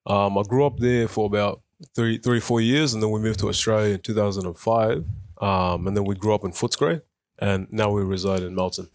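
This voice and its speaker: Low-voiced young man in a dry studio. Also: fairly even intonation, fairly quick, dry recording. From South Sudan. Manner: thoughtful, with frequent fillers and restarts. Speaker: guest. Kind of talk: radio interview, personal story. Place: radio studio.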